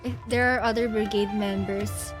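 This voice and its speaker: A woman's voice: mid-range voice, fairly even intonation, normal speed, music in the background. A woman giving casual live commentary as she plays a video game, thinking aloud in short murmured reactions. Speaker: streamer playing a video game.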